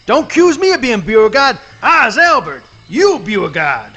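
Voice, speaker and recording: A man's voice: very high-pitched, big pitch swings, moderate pace, sharp tone, faint music behind, full character voice. A man playing a hot-tempered, greedy alligator in a comic radio play, blustering in folksy, mangled grammar. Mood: combative.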